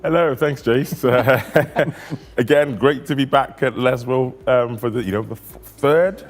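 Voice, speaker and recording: A man's voice: medium pitch, measured pace. A man from a band introducing their first song at a festival, speaking hesitantly with fillers and restarts. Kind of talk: stage introduction.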